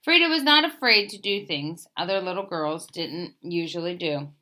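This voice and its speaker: Female storyteller for picture book read-aloud. Bright tone, highly varied pitch, expressive phrasing. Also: normal speed. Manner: expressive, emphatic phrase openings that settle at sentence ends.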